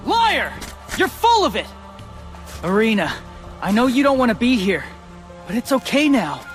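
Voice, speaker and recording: A man's voice: extremely high pitch, very animated, normal speed, soft background music. An actor playing a hot-headed, brash teenage boy in a video game, speaking with impulsive, urgent emotion. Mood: angry, defiant. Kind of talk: dramatic video game acting.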